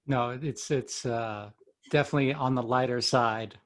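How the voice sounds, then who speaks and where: A man's voice: mid-range voice, fairly even intonation, normal speed. A man hosting a podcast, asking questions in a friendly, rambling way with many restarts. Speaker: podcast host. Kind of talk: casual interview hosting. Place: Florida.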